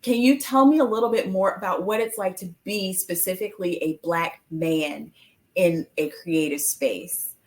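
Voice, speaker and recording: Woman, interview host, lower pitch, thoughtful, appreciative, conversational. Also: moderate pace. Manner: warm, appreciative, thoughtful questioning.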